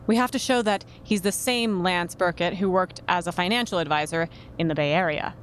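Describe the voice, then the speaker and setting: A woman's voice: on the low side, close to an everyday voice. Actress as composed female agent, background noise. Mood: measured. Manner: polite, official, measured. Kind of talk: naturalistic fiction podcast acting.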